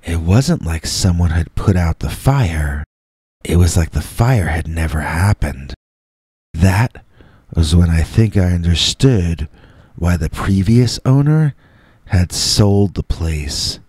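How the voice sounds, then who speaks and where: A man with a very low, dark voice tells a scary story in the first person. He reads slowly and deliberately, leaving dramatic pauses between short phrases. The recording is close and very dry. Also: animated, husky, dry recording. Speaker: storyteller, host reading listener stories as a Bigfoot persona.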